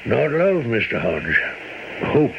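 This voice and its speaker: Solemn, loyal manservant, noisy recording. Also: male voice, mid-range voice, very animated, slow. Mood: somber. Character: loyal, solemn household manservant, gently concerned. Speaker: radio drama actor. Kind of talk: old-time radio mystery acting. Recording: muffled, very noisy old radio recording.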